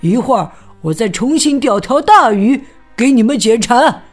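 A woman's voice: fairly high pitch, expressive, moderate pace, faint music behind. A woman voicing a chubby, food-loving young chick in a children's story, drawling slyly. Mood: casual.

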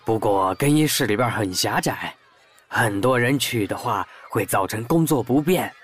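A man's voice: lowish voice, expressive, husky, soft background music. A man playing a friendly-seeming workman stranger who coaxes children politely in an audio drama. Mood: coaxing, friendly. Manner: coaxing, polite, deliberate. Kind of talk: Chinese audio drama acting.

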